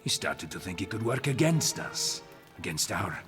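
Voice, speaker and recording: An actor playing a smug, treacherous mercenary boss in a game, theatrical and oily. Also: male voice, low-pitched, big pitch swings, moderate pace, music in the background. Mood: smug, mocking. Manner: oily mock politeness, theatrical swings. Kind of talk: video game cutscene acting.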